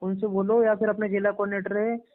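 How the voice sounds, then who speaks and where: Man explaining slowly over a tinny phone. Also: high voice, little pitch movement. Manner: slow, explaining, repeats tag questions. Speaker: ashram staff member on the phone. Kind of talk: phone argument.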